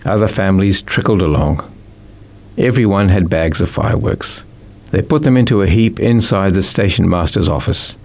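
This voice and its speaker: Man, New Zealand accent, low-pitched, scripted storybook narration. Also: normal speed, darkish tone. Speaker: author reading own book.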